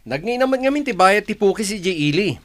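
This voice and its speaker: A man's voice: high-pitched, expressive, fast, a bit bright. A man playing a favour-seeking brother-in-law in an Ilocano radio drama, coaxing and hesitant, with a northern Philippine Ilocano accent. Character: Jojo, wheedling brother-in-law asking favours.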